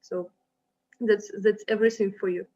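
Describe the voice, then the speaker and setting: A woman's voice: mid-range voice, steady tone, normal speed, warm tone, dull audio. A young woman from Ukraine presenting a university to applicants over slides, speaking hesitantly with many fillers and restarts.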